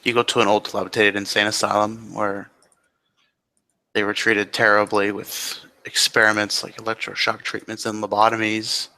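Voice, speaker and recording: A man playing a calm seer of hidden nightmares in a roleplay, talking in a measured, matter-of-fact way. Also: fairly low pitch, gravelly. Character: calm man who sees eerie hidden nightmare visions.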